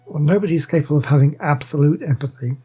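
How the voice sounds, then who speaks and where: A man's voice: on the high side, quick pace. A man commentating on a video game as he plays, thinking aloud and reading dialogue choices out. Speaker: game streamer. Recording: game music behind the voice.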